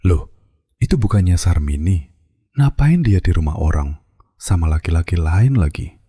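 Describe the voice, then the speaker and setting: A meek satay seller with a Javanese accent pleads with his wife in a low, very dark, mellow voice, as a man acts him out. The cartoon recording is dry and close. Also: animated, moderate pace, breathy edge, no room sound. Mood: pleading. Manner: pleading, timid.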